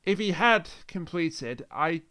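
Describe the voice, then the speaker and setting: A man's voice: on the high side, animated, takes it slowly, bright, forceful tone, clean tone. A man hosting a podcast in casual conversational talk. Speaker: podcast host.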